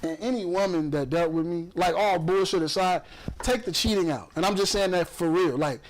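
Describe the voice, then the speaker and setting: A man's voice: high-pitched, normal speed, poor audio. A young man from the south side of Chicago with a Chicago accent, hosting a podcast in loose, profane, boastful casual chat full of fillers. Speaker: podcast host.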